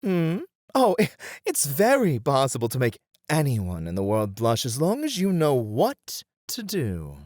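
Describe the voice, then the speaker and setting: A performer playing a poised, playful etiquette tutor in a scripted ASMR roleplay, speaking with refined, deliberate phrasing. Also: mid-range voice, huge pitch swings, darkish tone, no room sound, studio quality. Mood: flattered, teasing.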